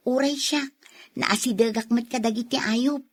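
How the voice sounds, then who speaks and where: A woman's voice: a little high, moderate pace, husky. A woman playing a friendly young student chatting with her classmate in a radio drama. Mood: friendly, curious. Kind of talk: radio drama acting in Ilocano.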